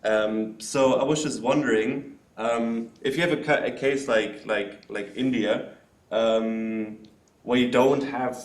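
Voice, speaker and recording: A man from the audience asks a long, careful question at a lecture. He speaks loudly and pauses often with "um" and "uh." The recording is roomy and echoing. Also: lowish voice. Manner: loud, hesitant, many fillers. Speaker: audience member asking a question. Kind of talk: spoken question at a talk. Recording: roomy hall sound.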